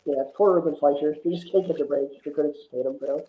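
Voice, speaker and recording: A man's voice: on the high side, fairly even intonation, fast talker. Man, muffled echoey recording, casual film chat, talkative guest reviewer, explanatory with asides.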